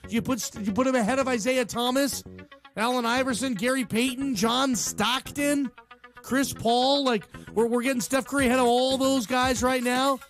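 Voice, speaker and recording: An American man with a notably high-pitched voice hosts sports talk radio. He comments casually and with strong opinions, using plenty of slang and repeating himself for emphasis. Faint music plays behind him. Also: steady tone, normal speed, fairly bright tone. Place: radio show.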